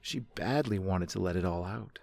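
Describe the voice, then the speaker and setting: A man's voice: deep voice, expressive, moderate pace, creaky, darkish tone, no room sound. A man reading scripted third-person story narration as the narrator.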